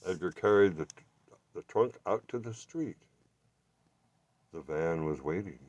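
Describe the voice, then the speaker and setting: A man reading a children's storybook aloud with expressive, deliberate narration. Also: sits low, no room sound. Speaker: children's bookstore reader.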